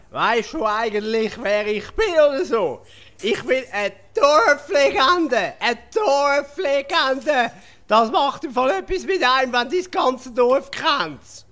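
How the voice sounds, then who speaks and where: An actor playing a boastful, self-pitying village drunkard in a Swiss German comic audio play, hesitating and repeating himself. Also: male voice, extremely high pitch, very animated, slow pace, fairly bright tone, heavily acted. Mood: indignant bragging. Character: boastful male village drunkard, washed-up local legend. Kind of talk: comic audio drama acting.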